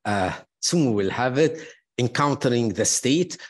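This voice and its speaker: A man introduces speakers at an academic gathering, pausing often on fillers and restarting his sentences. His voice sits at an ordinary middle pitch. He praises the speakers warmly and drops in personal asides. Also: animated, husky. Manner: halting, many fillers and restarts. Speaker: panel moderator introducing speakers.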